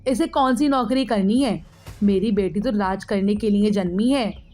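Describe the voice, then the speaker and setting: A woman voicing a spiteful middle-aged aunt who scolds and bosses in a sharp manner, in a moral story. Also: medium pitch, measured pace, slight background noise, lightly acted. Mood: indignant, spiteful.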